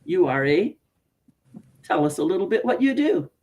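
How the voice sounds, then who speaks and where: A woman's voice: fairly low pitch, very animated, moderate pace, a little mellow. A woman from Canada hosting a casual interview over a video call, chatty and laughing often. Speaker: podcast host.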